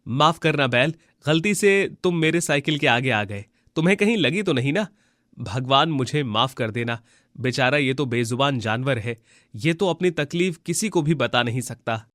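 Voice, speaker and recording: A man playing a kind, honest, devout villager in an animated moral tale, speaking in an earnest, pleading way. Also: medium pitch, moderate pace, dry recording, studio quality, natural voice. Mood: apologetic, worried.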